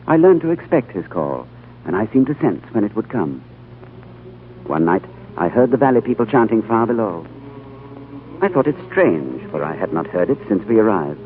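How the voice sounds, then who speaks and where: Low-voiced, earnest diplomat. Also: male voice, big pitch swings, moderate pace, slight background noise. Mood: narrating. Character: thoughtful male British diplomat narrating his tale. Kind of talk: 1930s radio drama acting and narration. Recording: old phone-like radio broadcast, faint music.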